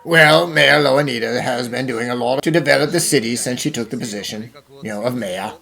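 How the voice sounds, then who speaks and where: A man's voice: medium pitch, fairly quick, gravelly, a bit bright. A man voicing a dry, slightly exasperated police inspector in an anime fan dub, matter-of-fact and wry.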